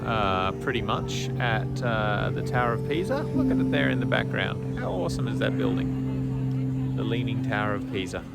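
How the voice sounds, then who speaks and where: A man's voice: lowish voice, animated, normal speed, gravelly. A man casually narrating a travel vlog as he walks through city streets, enthusiastic and full of fillers. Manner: chatty, enthusiastic, many fillers. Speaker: travel vlogger. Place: outdoors on city streets and at a train station. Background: loud music.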